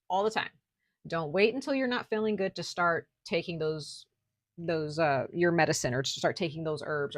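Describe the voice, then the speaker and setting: Soft-spoken woman with a gravelly low voice. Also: dry recording, studio quality. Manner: speaks softly at times, lots of "like" and "okay?". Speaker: tarot reader. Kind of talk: casual spiritual reading to camera. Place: at home, noise outside.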